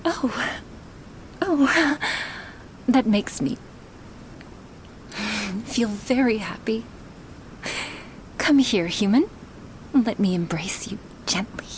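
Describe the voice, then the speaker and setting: A woman voicing a gentle, affectionate dragon woman in an intimate ASMR roleplay, breathy and hesitant, often slipping into whispers. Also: fairly high pitch, animated, slight background noise. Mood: tender, happy. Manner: slow, hesitant, with sighs and pauses. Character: gentle dragon woman, awkward, affectionate toward her human. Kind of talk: ASMR roleplay, spoken softly and intimately to the listener.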